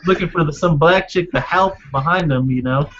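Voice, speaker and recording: A man's voice: on the high side, fairly quick, fairly bright tone. A blunt, crude man chatting casually and profanely as a podcast panelist. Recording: muffled lo-fi audio with faint noise.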